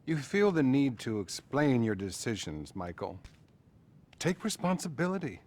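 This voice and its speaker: An actor playing a patronizing male therapist, measured and placating, in a naturalistic video game style. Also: medium pitch, big pitch swings, darkish tone. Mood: soothing.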